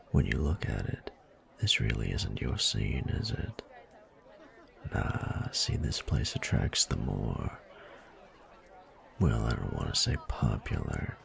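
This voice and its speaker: Man acting a rumbling, gravelly, cocky bully. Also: full character voice. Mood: teasing, predatory. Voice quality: very deep, gravelly, breathy murmur. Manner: slow, drawling, taunting. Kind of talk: scripted audio roleplay monologue.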